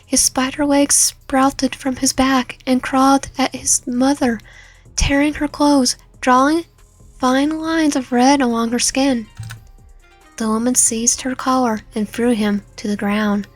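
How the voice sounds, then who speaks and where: A woman's voice: sits high, fairly even intonation, measured pace. A woman narrating a dramatic story read aloud, stumbling over and repeating some words as she reads. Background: background music.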